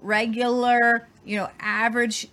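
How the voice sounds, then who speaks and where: Animated woman co-hosting a chat show. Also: on the high side, measured pace. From Southern Ohio. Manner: animated, repeats herself for emphasis. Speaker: co-host. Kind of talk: casual chat.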